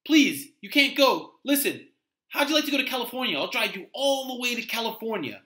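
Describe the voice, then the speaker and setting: A man's voice: very high voice, big pitch swings, a little fast, sharp tone, voice pushed up, exaggerated voice. A man playing a frightened, desperate young driver haunted by a phantom, in intense dramatic first-person storytelling. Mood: agitated, pleading. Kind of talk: dramatic first-person radio-play narration read aloud.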